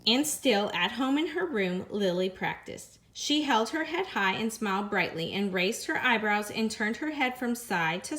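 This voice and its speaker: A woman teacher, reading a picture book aloud to her students in an expressive way, slowing down on repeated phrases and lifting her voice for the characters' lines. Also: mid-range voice. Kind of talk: read-aloud storytelling.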